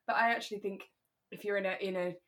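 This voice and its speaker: A woman's voice: mid-range voice, steady tone, quick pace, a bit bright. Chatty British woman in her thirties. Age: 30s. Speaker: podcast co-host. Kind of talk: relaxed casual chat about a radio drama.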